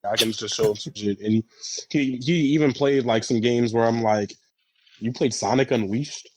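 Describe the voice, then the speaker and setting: A man with a husky voice talking informally and with slang as a podcast panelist. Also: mid-range voice, fairly even intonation, normal speed, darkish tone, poor audio. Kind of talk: casual chat.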